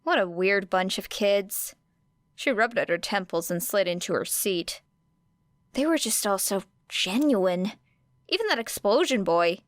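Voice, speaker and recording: A woman's voice: mid-range voice, expressive, unhurried, no room sound. Woman narrating fiction, dry sound. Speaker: audiobook narrator. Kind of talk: expressive scripted fiction narration.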